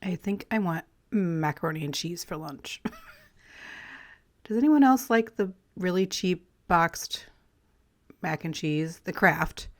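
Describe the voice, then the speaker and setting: Woman vlogger, slightly raspy and throat-clearing, relaxed rambling crafting chat. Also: on the low side, slow, pauses often. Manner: relaxed, rambling, friendly, with light laughs. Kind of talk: casual chatty voice-over while collaging.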